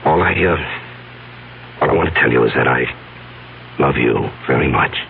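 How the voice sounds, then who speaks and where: Deep-voiced anguished fugitive, old radio. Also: male voice, animated, gravelly, heavily acted. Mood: anguished, earnest. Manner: halting, earnest, heavy pauses. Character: anguished fugitive man confessing a hidden past to his fiancée. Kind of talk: 1970s radio drama acting. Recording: narrow-band vintage radio broadcast, faint hiss.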